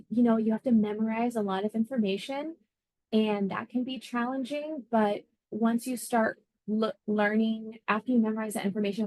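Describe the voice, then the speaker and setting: A woman's voice: medium pitch, a little mellow, dry recording, poor audio. A woman being interviewed as an air traffic controller, explaining her job conversationally and thinking aloud as she goes. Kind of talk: informal career interview answers.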